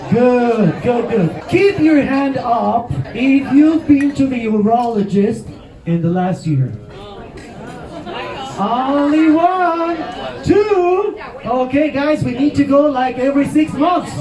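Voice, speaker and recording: Brief: fifties Mexican man with a Mexican Spanish accent, high and lively voice, stand-up in a roomy venue, mic at a distance, crowd audible. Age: 50s. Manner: animated, loud punchlines to a crowd. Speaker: stand-up comedian. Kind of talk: stand-up comedy set. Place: comedy venue with live audience.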